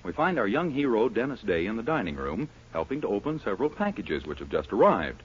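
A man's voice: lowish voice, very animated, a little fast, a little hiss or hum. An American man working as a radio announcer, reading scripted introductions and ads in a 1940s style. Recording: muffled 1940s radio broadcast, music behind.

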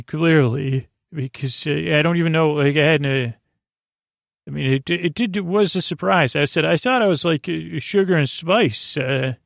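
A man hosting a bedtime podcast, rambling whimsically in a hesitant, halting way, with stammers and trailing tangents. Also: medium pitch, vocal fry, dry recording, clean studio sound. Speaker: podcast host.

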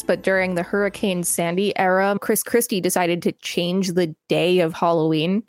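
A woman's voice: lowish voice, no echo. A woman podcast co-host reading an article aloud, with casual asides.

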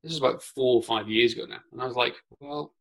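A man's voice: medium pitch, steady tone, fast, vocal fry, low-quality recording. A Welsh man whose first language is Welsh, a podcast guest chatting casually from a spare room at home over a remote call, often restarting his words. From Wales. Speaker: podcast guest, company founder. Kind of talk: casual interview chat.

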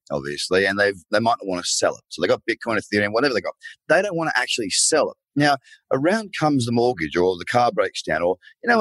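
A man's voice: lowish voice, a bit bright, no echo, low-quality recording. A man hosting an interview show, chatty and enthusiastic, calling his guest "mate". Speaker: podcast host. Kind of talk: casual interview.

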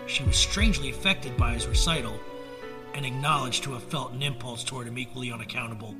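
A man narrating a gothic tale as a storyteller, measured and theatrical. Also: mid-range voice. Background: musical score.

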